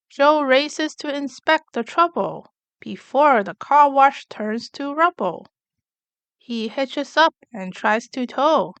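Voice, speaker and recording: Bright, high-voiced woman reading a children's story. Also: no room sound. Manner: deliberate, clearly enunciated storytelling for young children. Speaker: children's story reader, channel host. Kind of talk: expressive read-aloud of rhyming picture book.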